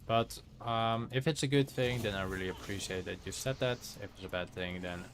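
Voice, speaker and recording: Man, Dutch accent, low voice, casual game streamer commentary, faint noise behind. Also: moderate pace. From Netherlands. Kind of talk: casual livestream gameplay commentary.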